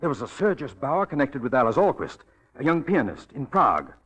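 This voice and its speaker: An actor playing an earnest, insistent detective in 1940s radio drama style, with a clipped, commanding, deliberate delivery. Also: male voice, medium pitch, very animated, a bit bright, slightly rough, low-quality recording. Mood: urgent, revelatory. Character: earnest, insistent male detective, urgent and protective.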